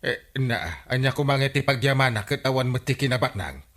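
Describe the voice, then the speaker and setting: An actor playing an excitable, easily flustered village captain in a radio comedy drama, stammering and exclaiming. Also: male voice, mid-range voice, fairly quick.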